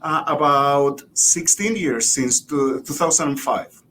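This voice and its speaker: A man's voice: a little slow, pushed and bright. A middle-aged man with a Puerto Rican accent chatting casually as an interview guest, with hesitant repeats. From Puerto Rico. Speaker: guest, biology professor. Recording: rumbly background noise.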